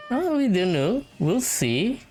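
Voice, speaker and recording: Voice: mid-range voice, very animated, slow pace, darkish tone. A gamer giving casual, hesitant play-through commentary, thinking aloud. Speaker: gamer, let's play host. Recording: game music behind the voice. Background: game music.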